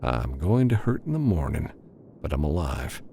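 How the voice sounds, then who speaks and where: Gruff, low, gravelly space cowboy played by a man. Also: big pitch swings, moderate pace, dark tone, slight background noise, heavily acted. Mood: weary grumbling. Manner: grumbling, dry. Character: gruff, weary, sardonic male space cowboy loner.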